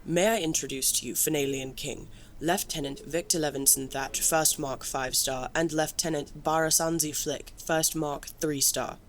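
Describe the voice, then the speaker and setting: A woman playing a confident, blunt army general in a fantasy audio drama, crisp and commanding with pointed formality. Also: sits low, steady tone, moderate pace, slight background noise, lightly acted. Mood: brisk, commanding.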